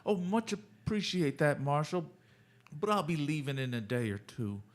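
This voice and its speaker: A man playing a meek, good-natured simpleton drifter in a Western radio drama, halting and folksy. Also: a little high, sing-song. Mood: meek, friendly.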